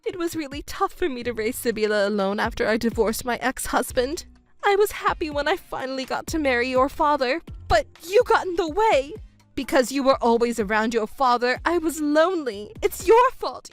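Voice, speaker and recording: Actress as a selfish, self-pitying middle-aged stepmother, high and shrill, audio drama. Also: lively intonation, normal speed, dry recording, soft background music. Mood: indignant. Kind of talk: melodramatic audio drama acting.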